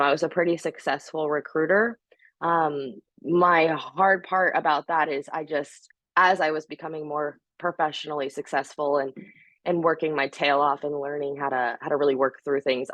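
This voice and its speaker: A woman in her thirties with a low voice that is a little scratchy and hoarse today. She talks in an upbeat, chatty way, full of fillers, as a guest speaker on a call. The sound is dry and close, with no room around it. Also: no room sound. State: slightly hoarse. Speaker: guest speaker, top sales producer. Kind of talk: casual motivational talk on a team call.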